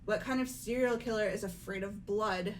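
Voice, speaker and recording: A woman's voice: mid-range voice, normal speed, lightly acted, low-quality recording. A woman voicing an earnest, disbelieving teenage schoolgirl in a casual, conversational amateur game dub. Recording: noticeable background noise.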